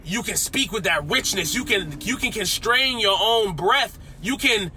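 Young dude rambling while he drives, kinda high voice, loud road noise. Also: lively intonation, unhurried. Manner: rambling, slangy, self-coaching. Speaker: vlogger. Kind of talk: casual self-talk vlog. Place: inside a car while driving.

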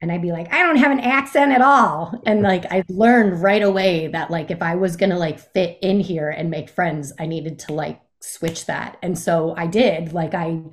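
A young woman from the Chicago area talks as a guest in a relaxed interview. Her voice sits a little low, and she rambles easily, packing her sentences with "like" and "um.". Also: normal speed, hardly any pauses. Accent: faint Midwest, mostly lost. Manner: chatty, many fillers and "like"s. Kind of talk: casual interview chat.

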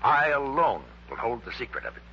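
A man's voice: medium pitch, big pitch swings, brisk, slightly rough. An actor playing a proud, grandiose man running a secret project in a 1960s radio drama, speaking formally and with pompous emphasis. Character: proud, grandiose male head of a rocket-fuel project. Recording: dull, humming early-1960s radio recording.

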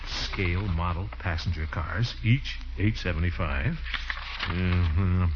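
A man's voice: sits low, slow. A man playing a jovial, blustery middle-aged uncle in a 1940s radio comedy, with hearty, chuckling delivery. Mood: dismayed. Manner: chuckling, hearty, exaggerated swoops. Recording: noisy, muffled 1940s radio recording.

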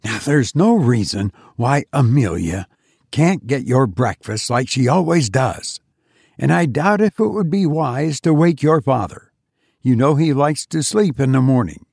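A man's voice: mid-range voice, animated, unhurried, gravelly, a little mellow, husky, no room sound, studio quality, exaggerated voice. A man voicing an irritated, sleepy mother who brushes off her son. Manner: curt, dismissive.

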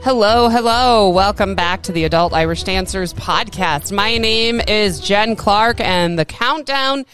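A woman's voice: medium pitch, unhurried, hardly any pauses, fairly bright tone, faint music behind. A woman hosting a podcast, talking in a chatty, upbeat way with frequent laughs, with the ease of a former radio broadcaster. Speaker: podcast host. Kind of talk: casual solo podcast monologue.

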